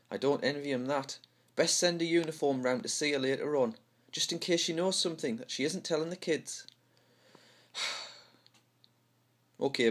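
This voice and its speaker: A man with a Houghton-le-Spring pitmatic accent from North East England, reading play dialogue as an amateur. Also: mid-range voice. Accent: Houghton-le-Spring pitmatic, North East English. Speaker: amateur script reader. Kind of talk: dramatic dialogue read-through.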